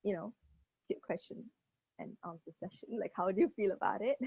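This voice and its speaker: Gravelly woman on a dull line. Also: medium pitch, lively intonation, normal speed, no room sound. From Malaysia. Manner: effusive, many fillers like "like, you know". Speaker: interviewer. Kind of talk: casual interview over a video call. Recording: dull dry lo-fi call audio.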